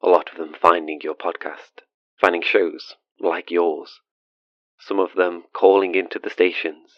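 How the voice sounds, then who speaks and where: Very deep-voiced, haunted, hesitant caller. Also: male voice, no room sound, highs cut off. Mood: embarrassed, tentative. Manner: hesitant, self-deprecating, earnest. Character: haunted, awkward, confessional British-born man phoning a radio show. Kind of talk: audio drama monologue as a radio call-in.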